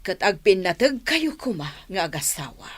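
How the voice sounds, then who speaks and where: A woman's voice: medium pitch, very animated, moderate pace, a bit bright, husky. A woman playing a supportive mother of a grown son in an Ilocano radio drama. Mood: pleased, supportive.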